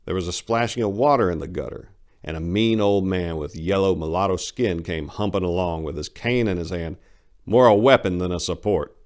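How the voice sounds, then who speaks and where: A man's voice: low voice, normal speed, a little mellow. A man hosting and narrating his own short stories in a dramatic, scripted reading style. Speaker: host, author and narrator.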